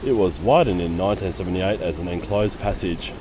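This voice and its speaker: A man with a hoarse voice from a lingering cough, giving chatty walking-tour commentary on busy city streets. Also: sits low, fairly quick. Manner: chatty, rambling, with fillers. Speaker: travel vlogger. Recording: outdoor street noise. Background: city street noise and traffic.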